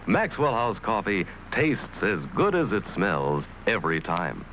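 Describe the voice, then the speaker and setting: A man's voice: lowish voice, sing-song, unhurried, slight background noise, poor audio. An American man narrating a vintage television commercial as its announcer, in an old broadcast recording. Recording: old TV advert under loud music. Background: loud music.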